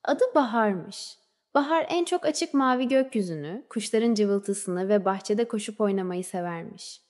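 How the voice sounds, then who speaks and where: A woman narrating a scripted children's fairy tale in a measured storybook way, letting phrases trail off softly. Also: medium pitch, expressive. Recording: long reverberant hall-like echo.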